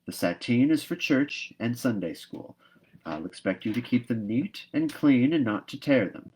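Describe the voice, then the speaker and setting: Stern older guardian woman in a storybook, played by a male reader: no-nonsense, brisk, scolding, firmly emphatic. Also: mid-range voice, animated, a little mellow, husky, low-quality recording. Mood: stern, sniffing.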